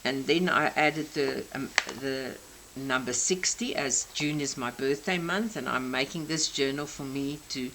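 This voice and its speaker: A South African woman in her sixties, a native Afrikaans speaker, gently explaining each detail of her craft journal. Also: a bass voice, unhurried, background noise. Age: 60s. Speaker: craft channel host. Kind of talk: crafting show-and-tell flip-through.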